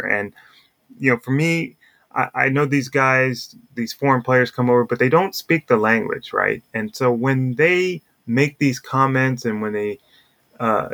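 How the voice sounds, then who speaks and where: A man's voice: mid-range voice, a bit bright. Man talking sports in a conversational way. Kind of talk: casual baseball discussion.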